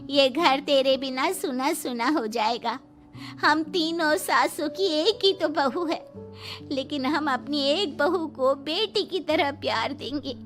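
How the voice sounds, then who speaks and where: Woman playing a shrill, dramatic cartoon mother-in-law. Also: a bit bright. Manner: exaggerated, dramatic delivery. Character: quarrelsome, wheedling older mother-in-law. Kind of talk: Hindi moral-story cartoon dubbing.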